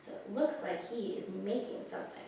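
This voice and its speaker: A woman reading picture books aloud to young children, pausing to explain the pictures and ask questions. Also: mid-range voice, expressive, dark tone. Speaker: children's librarian, story time host. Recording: echoey, distant microphone, faint hiss.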